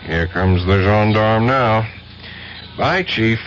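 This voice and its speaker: Low-voiced cocky American investigator. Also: male voice, slow pace, loud music behind the voice. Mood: urgent, wry. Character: cocky, tough American male narcotics investigator. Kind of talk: 1970s radio drama acting. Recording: muffled, hissy old radio recording.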